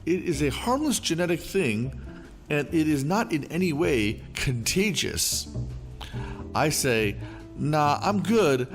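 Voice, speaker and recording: Male storytelling host, animated pitch, mid voice, soft music under the read. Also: unhurried. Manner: lively, expressive reading. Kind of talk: scripted narration of online stories. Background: faint background music.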